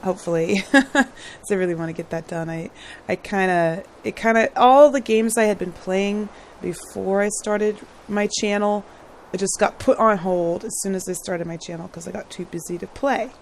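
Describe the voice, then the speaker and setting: A woman with a hoarse throat, chatting casually and rambling as she hosts a gaming build video, often laughing and clearing her throat. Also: medium pitch, normal speed, a little hiss or hum. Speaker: gaming channel host. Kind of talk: casual gameplay commentary.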